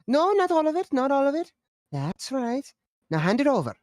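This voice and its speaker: Woman as dotty elderly actress, highly animated, higher-pitched, theatrical, bossy, dry close recording. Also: moderate pace, dry recording. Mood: bossy, scolding. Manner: theatrical, scolding. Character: dotty, bossy elderly former actress, fussing over neighbour. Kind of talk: screenplay table read.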